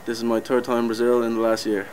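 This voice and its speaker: A man in his twenties with an Irish accent, calmly answering interview questions. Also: medium pitch, fast, a little hiss or hum. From Ireland. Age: 20s.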